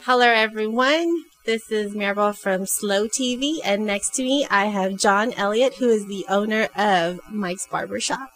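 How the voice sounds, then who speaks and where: A woman hosting a local TV interview in a small barbershop, speaking in a bright presenter tone into a handheld mic. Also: mid-range voice, moderate pace, few pauses, faint music behind, low-quality recording. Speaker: interviewer host.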